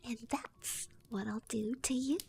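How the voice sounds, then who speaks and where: A woman's voice: fairly high pitch, steady tone, measured pace, gravelly, a little mellow, slight background noise. A woman voicing an angry, vengeful male giant in a whispered storybook telling. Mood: menacing. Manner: whispered menace.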